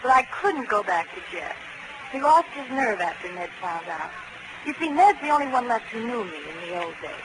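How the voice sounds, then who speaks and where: An actress playing a flirtatious, breezily amoral carnival daredevil with an airy, teasing manner in a 1940s radio crime drama. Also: female voice, fairly high pitch, very animated, normal speed. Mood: defensive, breezy. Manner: coy, airy, nonchalant, teasing. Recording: noisy old 1940s radio broadcast.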